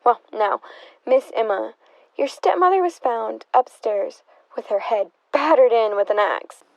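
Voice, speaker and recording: A prying, sardonic interrogator from a radio drama, acted by a woman. The voice is high, with wry, pointed questions full of insinuation. The recording is dry and slightly dull. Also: lively intonation, measured pace, creaky, no echo. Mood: probing, skeptical. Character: nosy, sardonic, probing interrogator of a murder suspect's sister. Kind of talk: theatrical radio drama acting.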